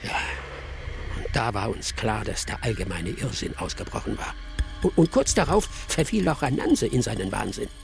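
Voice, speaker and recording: A village storyteller in a radio play, his voice creaky and swinging widely as he spins old tales. He is vivid and dramatic, with music playing behind him. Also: fairly low pitch, animated, moderate pace. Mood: reminiscing, suspenseful.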